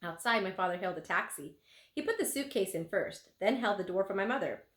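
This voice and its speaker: A woman reading a children's book aloud as a teacher would, with an expressive, animated delivery. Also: mid-range voice, brisk. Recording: roomy, echoing room sound.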